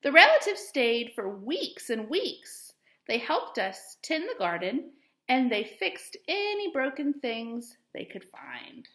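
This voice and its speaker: Woman, teacher read-aloud, very animated intonation, expressive storytelling, echoey room. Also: a little high, slow pace. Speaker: teacher reading aloud to pupils.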